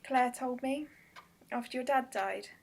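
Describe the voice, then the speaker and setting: A woman's voice: high-pitched, a little slow, vocal fry, exaggerated voice. An actress playing a teasing, flirtatious woman who is insecure and wounded underneath, in a radio drama. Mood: flirty, teasing. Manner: teasing, confessional.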